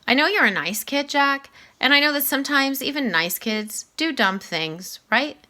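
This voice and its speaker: A woman voicing a kindly middle-aged male school principal in a children's storybook, speaking hesitantly and gently but firmly. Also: sits high, expressive, normal speed, voice pushed up. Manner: hesitant, explanatory, gently firm. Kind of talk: children's novel read aloud.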